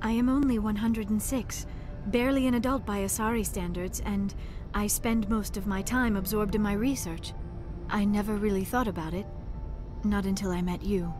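A woman's voice: mid-range voice, fairly even intonation, moderate pace, music in the background, lightly acted. A woman playing a young female alien scholar in a video game, earnest and reserved, with formal, precise speech. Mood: tender, resigned. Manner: formal, precise wording, avoids contractions. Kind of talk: video game dialogue acting.

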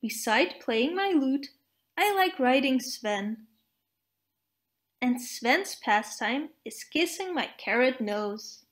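A woman's voice: sits high, lively intonation, slow. A woman voicing a friendly, easygoing young outdoorsman in a children's storybook, with a reassuring manner. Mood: enthusiastic.

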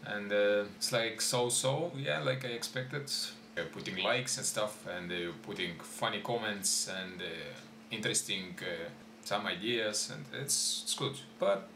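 A man's voice: lowish voice, unhurried, creaky, echoey room, background noise. Hesitant young man, non-native English. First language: Estonian. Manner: hesitant, many fillers and restarts. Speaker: YouTuber. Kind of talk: unscripted vlog talk to camera. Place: small room at home, indoors.

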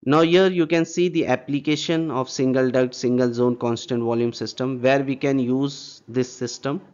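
A man's voice: medium pitch, fairly even intonation, normal speed, darkish tone. Guy explaining hvac slides, keeps saying okay, kinda dull lo-fi sound. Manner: repetitive, checks in with "okay" and rhetorical "what?". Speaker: HVAC instructor. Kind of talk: slide-based technical lecture. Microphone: voice-over over slides.

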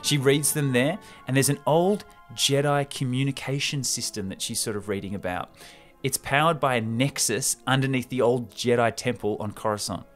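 A man's voice: medium pitch, normal speed, hardly any pauses, faint music behind. A young man hosting a podcast, retelling a story casually and enthusiastically into a close microphone. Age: young adult. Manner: enthusiastic, gesturing, slangy. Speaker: podcast host. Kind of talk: animated casual retelling of a film script. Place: small room indoors. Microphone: close podcast microphone on stand.

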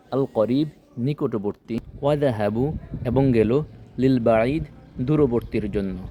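Male tutor, mid-pitched mellow voice, didactic chunked delivery of a scripted lesson, slight background noise. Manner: didactic, enunciates phrases in small chunks. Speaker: language teacher. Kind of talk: scripted lesson, phrase-by-phrase translation.

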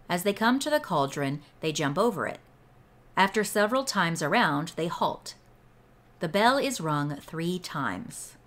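Woman reader, lower register, unhurried measured delivery for scripted read-aloud. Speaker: read-along host and reader. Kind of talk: slow scripted read-aloud of a ritual book.

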